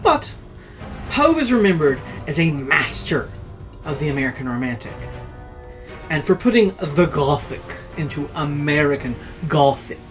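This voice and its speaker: A narrator reading a horror tale in a theatrical, dramatic way with drawn-out emphasis. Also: medium pitch, very animated, faint music behind.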